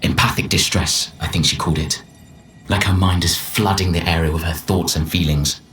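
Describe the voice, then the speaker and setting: An actor playing a weary, despairing expedition member who records a halting, heartfelt journal in a video game. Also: male voice, deep voice, fairly even intonation, fairly bright tone. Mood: dazed, uneasy. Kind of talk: dramatic game voice acting, reflective monologue. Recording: roomy echo under music.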